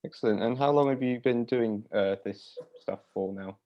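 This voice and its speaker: A man's voice: mid-range voice, moderate pace. A man hosting an interview, putting polite, semi-formal questions on a slightly dull recording. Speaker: interviewer, host.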